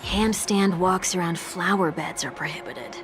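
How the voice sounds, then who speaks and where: A woman's voice: lowish voice, lively intonation, unhurried, background noise. An actress playing a confident, teasing woman in a video game, with a cool, playful and assured delivery. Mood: breezy, daring. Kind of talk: scripted video game voice acting.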